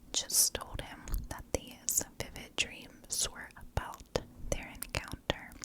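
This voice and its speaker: Woman, pure unvoiced whisper, very slow pace, ASMR storytelling, hushed short phrases. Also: rough recording. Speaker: ASMR true crime storyteller, channel host.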